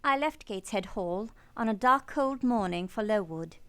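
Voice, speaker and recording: A woman playing an earnest young orphan who narrates her childhood in measured first person for a radio play. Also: medium pitch, expressive, pushed and bright, faint music behind. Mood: reflective.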